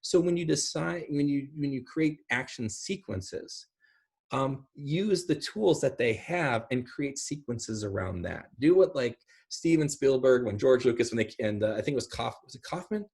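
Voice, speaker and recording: A middle-aged man with a slightly rough, husky voice answers questions on a video call from home. He explains things informally, rambling from one example to the next. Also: mid-range voice, expressive, moderate pace, darkish tone. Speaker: guest speaker. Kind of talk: casual explanatory Q&A talk. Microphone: video call microphone.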